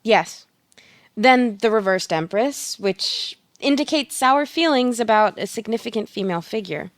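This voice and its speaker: A woman playing a knowing tarot reader in an audio play, speaking in a measured, deliberate manner. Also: a little high, lively intonation, dry recording. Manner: measured, pronouncing readings slowly.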